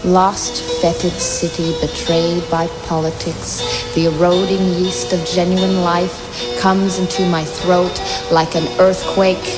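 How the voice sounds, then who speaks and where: A woman giving a slow, measured spoken-word poetry reading. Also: on the low side, level tone, rough recording. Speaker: poetry reader. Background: loud music soundscape.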